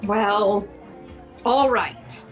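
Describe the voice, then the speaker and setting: A woman acting in a radio play as an excitable, primly indignant society wife. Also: medium pitch, extremely slow, soft background music. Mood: hesitant.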